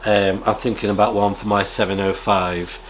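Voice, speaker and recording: Low-pitched British man, halting and casual. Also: level tone, a little hiss or hum. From United Kingdom. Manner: halting, frequent throat clearing. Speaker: amateur radio vlogger and presenter. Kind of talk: casual rambling chat, reading out viewer comments.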